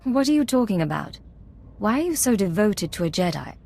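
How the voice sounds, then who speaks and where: A woman's voice: mid-range voice, sing-song, a little fast, creaky, darkish tone. An actress playing a self-assured female secret agent in a video game, controlled and coolly persuasive. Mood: probing, persuasive.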